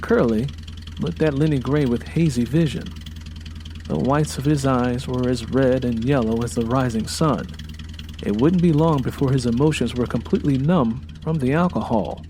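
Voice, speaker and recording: Mellow American man narrating a story. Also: mid-range voice, moderate pace, slight background noise. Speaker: audiobook narrator. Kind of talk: dramatic scripted story narration. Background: faint music bed.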